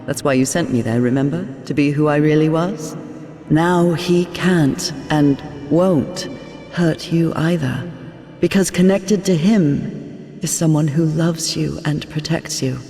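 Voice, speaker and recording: A serene woman spirit in a cinematic game. Her voice has a marked gravelly creak and a long reverb over music. She speaks slowly and intimately, with tender reassurance. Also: sits low. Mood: gently explaining. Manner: intimate, gently reassuring, measured. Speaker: character and narrator.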